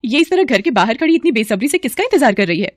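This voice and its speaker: A woman voicing a meddling, quarrelsome neighbour in an animated storybook tale, needling and bossy. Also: on the high side, quick pace, no echo, studio quality, voice pushed up.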